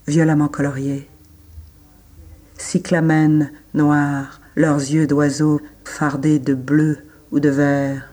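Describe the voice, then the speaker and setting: A man reciting literary prose in a radio production, in short measured phrases with pauses between them. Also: on the high side, dark tone.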